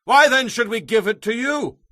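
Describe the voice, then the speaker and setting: An actor playing an indignant warrior commander in an audio Bible drama, declaiming forcefully. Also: male voice, very high voice, expressive, moderate pace, no room sound, heavily acted. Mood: indignant challenge. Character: indignant warrior commander arguing his people's case.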